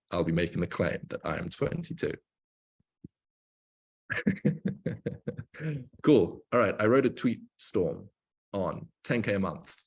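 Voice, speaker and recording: A man's voice: lowish voice, husky. A man in his twenties hosting a livestream, coaching in an informal, explanatory way with emphatic repetition. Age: 20s. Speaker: livestream host, online business coach. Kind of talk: casual livestream talk and coaching.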